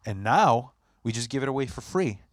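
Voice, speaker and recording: A man's voice: mid-range voice, lively intonation, moderate pace, no echo, clean studio sound. Polish-born man telling rambling stories. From Poland. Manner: rambling, profane storytelling with many restarts. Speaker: podcast host. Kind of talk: casual chat.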